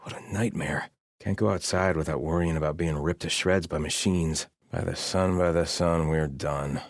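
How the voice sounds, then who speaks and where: A man's voice: low voice, fairly even intonation, normal speed, no echo. A grim, devout soldier from a video game, heard very dry and close. He is stern and scornful, a hardened believer in an exiled army. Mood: weary, uneasy. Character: gruff, devout male soldier of an exiled sun-worshipping army. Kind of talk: video game character voice lines.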